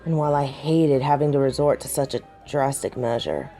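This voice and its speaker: A woman playing a jaded, centuries-old werewolf in an audio drama, brooding, proud and weary. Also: soft background music. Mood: grim, defensive. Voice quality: low, dark and mellow. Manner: slow, measured, brooding. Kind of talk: dramatic audio roleplay acting.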